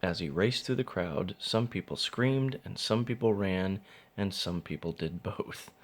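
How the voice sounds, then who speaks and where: A man's voice: low-pitched, measured pace. A man reading a children's storybook aloud as narrator. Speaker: father reading a picture book.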